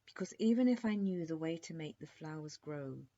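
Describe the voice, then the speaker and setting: A woman's voice: low voice, animated, moderate pace, dark tone. A woman voicing a polite, resigned young prince in a storybook, speaking formally and courteously. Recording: dull lo-fi recording.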